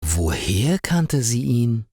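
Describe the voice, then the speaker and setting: A man narrating a scripted story for language learners in a careful, deliberate way. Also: mid-range voice, sing-song, dark tone, no room sound, studio quality. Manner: careful, clearly paced for learners.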